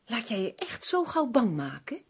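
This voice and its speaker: Sceptical female crime novelist in radio drama; husky, animated, bossy, no patience for nonsense. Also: on the high side, exaggerated voice. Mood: dismissive. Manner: dismissive, bossy, brisk. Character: sharp, sceptical woman co-writing crime novels with her partner.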